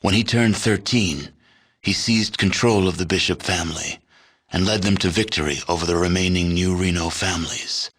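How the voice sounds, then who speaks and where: A man narrating a video game as a grave, detached chronicler of a post-apocalyptic wasteland, in measured, matter-of-fact storytelling. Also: low voice, steady tone, fairly bright tone, breathy edge. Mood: solemn, detached. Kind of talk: scripted game ending narration.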